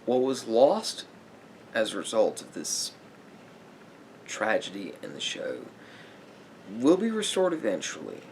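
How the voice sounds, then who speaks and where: Slow, creaky man in ASMR therapist roleplay. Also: lowish voice, huge pitch swings, a little mellow, a little hiss or hum. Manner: measured, halting, explanatory.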